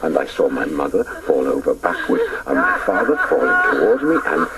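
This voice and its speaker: An actor playing a grown man who recalls his boyhood in a wistful, measured first-person radio drama narration, with a gravelly voice. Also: sing-song, distant mic, a loud crowd, full character voice. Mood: wistful recollection. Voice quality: deep, gravelly. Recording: noisy old radio broadcast recording.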